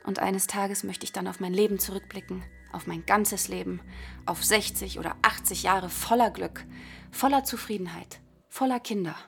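A wistful young woman, blunt, croaky fry, radio play with soft music. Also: medium pitch, steady tone, normal speed. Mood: wistful, bitter. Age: 20s. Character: wistful, blunt young woman of twenty-three facing death. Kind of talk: naturalistic radio play acting.

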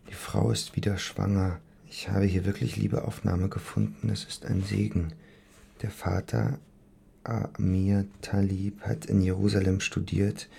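An actor playing a brooding, stubborn young poet and bricklayer in a radio play, musing and understated. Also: male voice, deep voice, creaky, mellow, a little hiss or hum, exaggerated voice. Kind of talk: radio drama acting.

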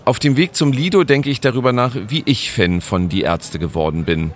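A man narrating his own podcast episode in a calm, scripted storytelling manner. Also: mid-range voice, moderate pace, background noise.

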